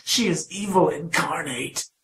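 Battered ex-lover, echoey room. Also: male voice, on the high side, unhurried, fairly bright tone. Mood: scornful. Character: battered, bitter, defiant ex-lover of a demon. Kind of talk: radio drama acting.

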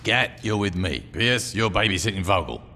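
A man's voice: on the low side, lively intonation, moderate pace, bright, forceful tone, a little hiss or hum. A man playing a confident, sardonic gang boss in a video game, brash and commanding. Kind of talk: video game cutscene acting.

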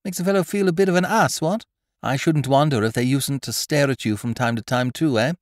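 A man voicing a breezy, chummy young gentleman of leisure who frets easily. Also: medium pitch, a little fast, dry recording, studio quality, voice pushed up, close to an everyday voice. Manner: breezy, chummy upper-class banter.

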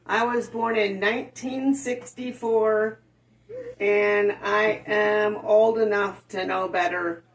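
A woman's voice: medium pitch, fairly even intonation, slow, echoey room. A woman in her sixties saying a few playful words about herself at home, recorded on a phone from some distance. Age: 60s. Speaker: birthday guest of honor. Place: home indoors.

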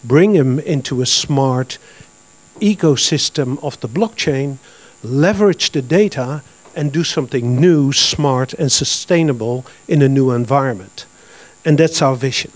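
A man in his fifties with a Dutch accent presents to a conference crowd, speaking plainly with many uh and um fillers. His voice sits at an ordinary middle pitch. The handheld mic gives a dry, close sound. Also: no echo. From Netherlands. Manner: plain, matter-of-fact, with frequent uh and um. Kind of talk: business presentation. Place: large conference hall with audience. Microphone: handheld microphone.

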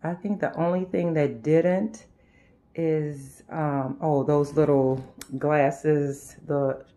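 A woman vlogger talking casually to her viewers in a halting, unscripted way, often circling back on herself as she shows her house. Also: deep voice, fairly even intonation, warm tone. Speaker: home and lifestyle vlogger. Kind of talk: casual chat to viewers during a house tour. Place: inside a house, walking between rooms and sun porch.

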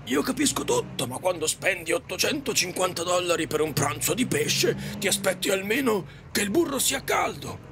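A man's voice: high-pitched, very animated, moderate pace, hardly any pauses. A man acting an exasperated, foul-mouthed taxi driver in an audio drama, ranting theatrically. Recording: faint music behind.

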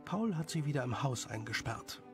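A man reading scripted story narration as the narrator, with a creaky voice. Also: mid-range voice, lively intonation, moderate pace, over music.

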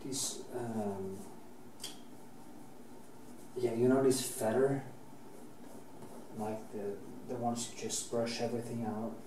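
Norwegian-accented painter, middle-aged; rambling restarts, casual swearing; distant camera mic, faint room hiss. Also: male voice, medium pitch, steady tone, slow, long pauses, echoey room. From Norway. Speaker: painting teacher. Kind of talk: painting demonstration. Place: painting studio, indoors.

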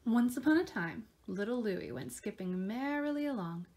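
A woman's voice: fairly high pitch, lively intonation, normal speed, darkish tone. A woman in her thirties speaking to children as a storytime reader, recorded at arm's length in a living room. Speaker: storytime reader, prevention services worker. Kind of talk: gentle address to children and picture-book reading. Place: small living room, on a couch. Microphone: phone or camera at arm's length.

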